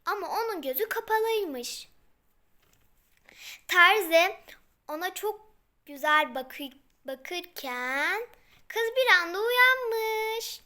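A young girl improvising a fairy tale, haltingly, repeating herself and correcting her words as she goes. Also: very high-pitched, expressive, pushed and bright. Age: child. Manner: halting, repetitive phrasing with self-corrections.

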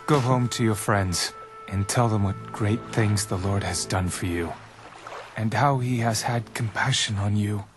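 An actor playing a calm, compassionate teacher and healer, measured and solemn in a dramatized audio Bible. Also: male voice, lowish voice, over music, natural voice. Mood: gentle assurance.

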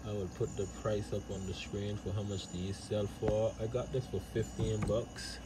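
A man's voice: lowish voice, level tone, normal speed. A man narrating a casual vlog as host, easygoing and slangy in his phrasing, recorded on a phone outdoors. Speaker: vlog host, flea market reseller. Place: outdoors at flea market and porch. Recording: noisy outdoor phone recording with insects, vehicles.

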